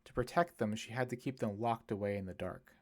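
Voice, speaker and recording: A man's voice: fairly low pitch, a little fast, a little mellow. A man reading literary fiction aloud as an audiobook narrator, telling the story expressively. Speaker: audiobook reader.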